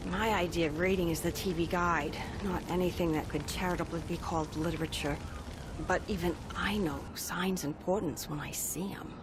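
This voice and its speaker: A woman's voice: on the low side, steady tone, moderate pace, lightly acted. A woman acting as a plainspoken, wry small-town American local in a video game, with noisy ambience behind her. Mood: wry, uneasy. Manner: folksy, wry.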